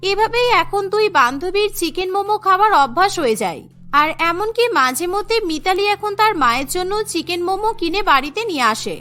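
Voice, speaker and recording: Woman, very high voice, bright tone, scripted story narration. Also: lively intonation, fairly quick, soft background music.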